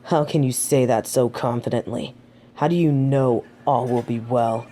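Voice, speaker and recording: A woman's voice: a bass voice, steady tone, moderate pace, warm tone, a little hiss or hum. A woman playing a proud, haughty fallen angel of judgement in a scripted audio roleplay, dramatic and defensive. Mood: haughty, teasing.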